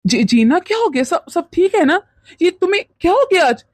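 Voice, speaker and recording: A mild, bewildered young husband and devoted son in a domestic melodrama, played by a woman. The voice is high, with flustered questions and repeated words. It is recorded very dry. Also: sing-song, a little fast, dry recording. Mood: bewildered, defensive. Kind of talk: melodramatic domestic story acting.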